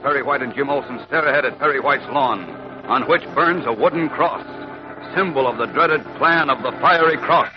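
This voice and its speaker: A man narrating a radio serial in a grave, dramatic 1940s scripted style. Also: a little high. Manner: grave, portentous. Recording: dull old radio recording. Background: loud dramatic music.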